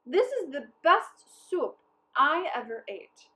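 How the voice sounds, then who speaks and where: A woman's voice: high voice, big pitch swings, takes it slowly, pushed and bright, pitched above the performer's own voice, exaggerated voice. A woman voicing a proud, easygoing male small-town mayor in a children's story, speaking emphatically. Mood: delighted.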